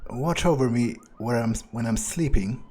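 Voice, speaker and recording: Man, soft mellow tone, in-character gameplay monologue, hesitant, rambling, dryly cynical. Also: mid-range voice, moderate pace. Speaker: gamer playing a vampire character.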